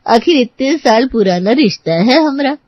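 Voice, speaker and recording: A woman's voice: high voice, animated, moderate pace, no echo, highs cut off. A woman playing a shrewd, stubborn older housemaid in an audio story, with a colloquial servant's way of talking. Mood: coaxing. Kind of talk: scripted audio story dialogue.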